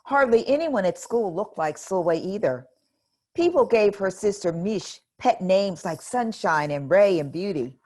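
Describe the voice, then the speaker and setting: Slow, gentle woman reading a storybook. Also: mid-range voice, lively intonation. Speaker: storybook reader. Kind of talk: children's picture book read-aloud.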